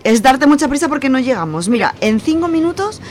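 A woman's voice: high voice, very animated, brisk, a little mellow, a little hiss or hum. A woman playing a bossy, casual young friend in a radio serial, with an accent from Spain. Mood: pressing. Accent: Spanish from Spain.

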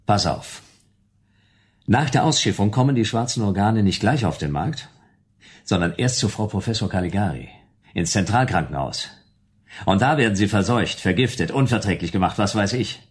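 A man playing a world-weary, dogged private detective in a sci-fi radio drama, with a husky, brooding, terse and wry delivery. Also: fairly low pitch, animated, normal speed. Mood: determined. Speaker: lead actor narrating and playing a detective. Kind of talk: German radio drama acting, first-person noir narration.

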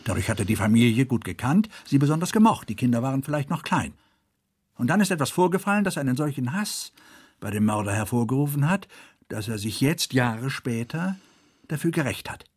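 A man's voice: medium pitch, sing-song, fairly quick, darkish tone. An actor playing a troubled, sleepless male murder investigator who broods and thinks aloud in a radio drama. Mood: brooding, weary. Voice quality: husky.

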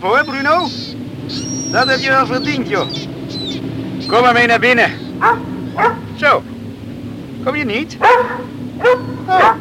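An actor playing a young hunter chatting fondly and contentedly to his dog in a Dutch radio play. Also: extremely high pitch. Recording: noisy old radio recording.

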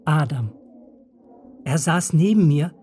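An actor playing a grown narrator who recalls a village childhood in measured, reflective radio drama storytelling. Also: mid-range voice, expressive, darkish tone, soft background music.

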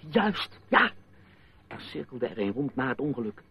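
A slightly dull old radio play recording of an intense, distrustful man. His mid-pitched voice is deliberate and insistent, pressing each point urgently. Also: sing-song. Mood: recalling, grave. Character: intense, suspicious male patient convinced of brainwashing. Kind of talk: radio drama acting.

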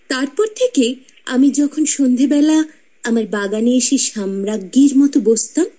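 A woman's voice: high-pitched, mellow, exaggerated voice. An actress playing the ghost of a proud young woman, telling her life story in a radio drama with intimate, teasing narration. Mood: wistful.

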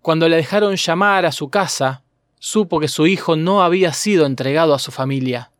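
A man's voice: on the high side, brisk, a bit bright, dry recording. A man narrating a scripted documentary podcast. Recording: dry studio voice over piano music. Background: piano music.

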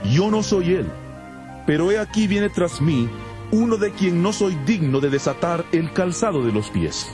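A fervent high-voiced apostle, declaiming. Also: male voice, darkish tone. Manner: solemn, declamatory. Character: fervent apostle Paul, rebuking and preaching to crowds. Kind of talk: dramatized audio Bible. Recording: music under the voice.

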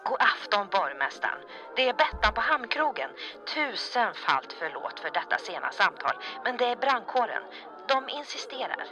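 A woman's voice: on the high side, big pitch swings, sharp tone, soft background music, exaggerated voice. A woman playing a polite, apologetic tavern keeper making a late-night call. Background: crowd of revellers.

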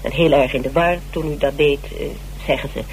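A man's voice: fairly high pitch, steady tone, a little fast, close to an everyday voice, low-quality recording. An actor playing an eager, fawning male criminology lecturer who hesitates and repeats himself in a radio drama. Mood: excited, gossipy. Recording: hissy old radio recording.

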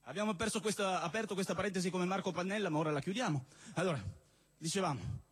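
Male stage poet-comedian, high voice, theatrical declamation with sudden spoken asides. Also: fast, husky. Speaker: stage poet and comic performer. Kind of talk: declaimed satirical spoken-word performance for a live audience. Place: live stage at a party show.

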